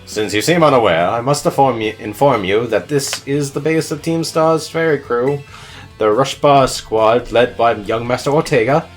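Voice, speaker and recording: A man voicing a courteous, formal gentleman tutor in a video game, with stiffly polite phrasing. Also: mid-range voice, moderate pace, faint music behind, close to an everyday voice.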